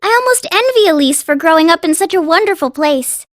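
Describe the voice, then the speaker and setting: An actress playing a sweet, refined teenage princess in an anime game dub, with genteel, formal phrasing. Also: female voice, very high-pitched, expressive, fairly quick, no room sound, studio quality. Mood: cheerful, playful. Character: sweet, poised teenage princess putting on a brave face.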